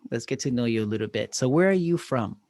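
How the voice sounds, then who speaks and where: A man's voice: medium pitch, lively intonation, quick pace, a little mellow, no room sound, clean studio sound. A man hosting a local podcast, reading an upbeat intro and asking questions. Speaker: podcast host.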